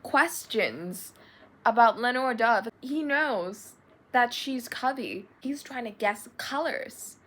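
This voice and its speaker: A woman with a light Thai accent chatting excitably and dramatically as she reacts to a book she is reading, full of restarts. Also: on the high side, takes it slowly. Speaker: book vlogger. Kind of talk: casual reading reaction vlog. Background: faint background music.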